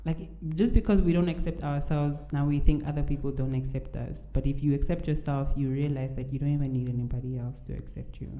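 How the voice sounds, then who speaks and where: A woman with a low pitch and a mellow, darker tone speaks with a mixed Nigerian and Namibian accent. She talks in a candid, encouraging way and stresses her points with conviction. Her voice carries a roomy echo. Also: steady tone, a little fast, few pauses. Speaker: podcast guest. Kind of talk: casual conversation. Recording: echoey room.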